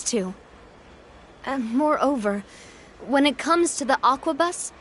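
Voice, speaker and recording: A woman's voice: sits high, fairly bright tone, slight background noise. A woman playing a confident, gracious young leader in a video game, composed and breezy. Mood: earnest. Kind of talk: video game character acting.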